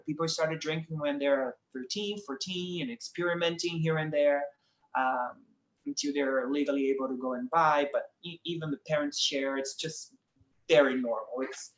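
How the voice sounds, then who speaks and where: A man's voice: a little high, moderate pace, reverberant, rough recording. A young man from Brazil with a Brazilian accent, a guest speaking in an earnest, reflective conversational way and laughing easily. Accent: Brazilian Portuguese. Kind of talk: casual conversation.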